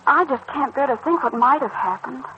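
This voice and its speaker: A muffled, faintly noisy old radio recording holds a woman acting an anxious teenage girl in love. She is soft-hearted and hesitant, in the sincere manner of a vintage soap serial. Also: high-pitched, normal speed, close to an everyday voice. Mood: anxious, tender.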